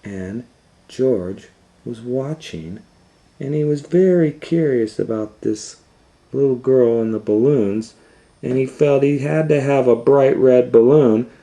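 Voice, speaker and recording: A man reading a story aloud to young children in a dramatic, loosely retold way, moving between hushed asides and excited swells. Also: mid-range voice, slow pace. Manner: dramatic, hushing to near whispers and swelling with excitement. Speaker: storytime host and reader.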